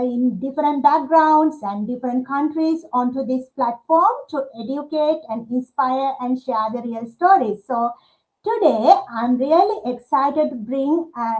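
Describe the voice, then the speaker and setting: A woman from Sri Lanka in her thirties, hosting a live online interview and pausing often with filler sounds. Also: high voice, a little mellow, rough recording. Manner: hesitant, many filler uhs. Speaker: livestream host.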